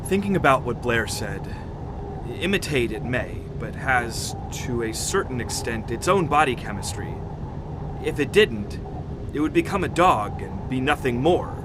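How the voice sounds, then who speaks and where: An actor playing a level-headed scientist in an audio drama, explaining things in a measured, serious manner. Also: male voice, animated, lightly acted. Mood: grave, reasoning. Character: level-headed, grave male polar expedition scientist. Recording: clear noisy rumble behind the voice.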